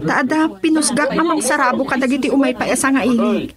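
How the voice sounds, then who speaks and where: An actress playing a courteous young hostess with an Ilocano accent in a radio drama. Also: female voice, high-pitched, brisk, over a noisy crowd, natural voice. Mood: hospitable. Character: courteous young woman hosting party guests. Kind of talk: Filipino radio drama acting.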